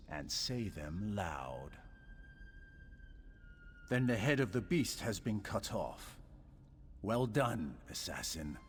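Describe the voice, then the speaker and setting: An actor playing a solemn, commanding leader of an assassin brotherhood in a fantasy video game, with grave, ritual delivery. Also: male voice, on the low side, a little hiss or hum, heavily acted. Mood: grave approval.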